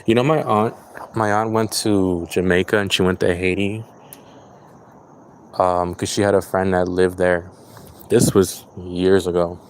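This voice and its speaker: A man's voice: deep voice, level tone, unhurried, long pauses, slight background noise. A man from California, a psychic reader, chatting loosely with viewers on a livestream and reacting to their comments as he reads them. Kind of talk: casual livestream chat answering viewer comments.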